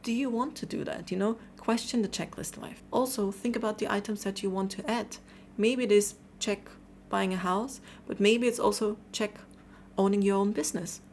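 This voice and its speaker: A woman's voice: medium pitch, normal speed, a little mellow, slight background noise. A woman from Austria in her thirties talking candidly to camera in a motivational vlog, chatty and casual with some fillers. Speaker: vlogger.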